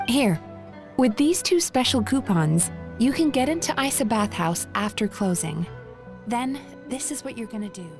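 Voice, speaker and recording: A composed, caring counselor for a video game. The voice sits a little high, with measured, gently persuasive phrasing. Faint music plays behind it. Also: female voice, lively intonation. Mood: reassuring. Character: composed, caring female counselor, thoughtful and reassuring. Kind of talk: video game character acting.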